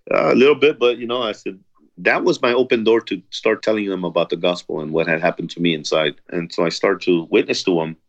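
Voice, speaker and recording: Man with a real low voice telling his prison story, earnest and plain, kinda dull lo-fi sound. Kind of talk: personal testimony interview.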